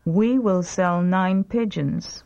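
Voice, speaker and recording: A woman reading short prompt sentences slowly and clearly for a language drill. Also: fairly low pitch, darkish tone, muffled recording. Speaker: language lesson reader. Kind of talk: slow repeat-after-me language drill.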